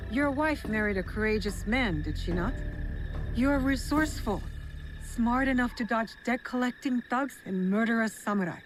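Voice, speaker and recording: A woman playing a composed, determined young female shinobi, direct and measured, in a video game cutscene. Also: on the high side, music in the background, natural voice, rough recording. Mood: persuasive, steady.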